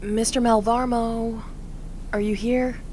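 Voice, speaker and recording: A woman's voice: fairly high pitch, little pitch movement, slow, a little mellow, a little hiss or hum. A woman playing an earnest young charity worker, hesitant and eager, with some stammering. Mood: pleading. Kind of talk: audio drama acting.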